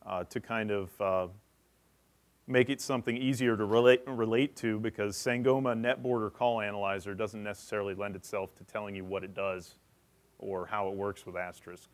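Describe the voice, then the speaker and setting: Man, lowish pitch, conference speaker, technical presentation, matter-of-fact, explanatory. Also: fairly even intonation, moderate pace.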